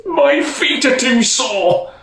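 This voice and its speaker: A storyteller playing a weary old king in a storybook, exasperated and commanding, his cries rising high. Delivered slowly. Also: animated, creaky, reverberant, a crowd in the background, heavily acted.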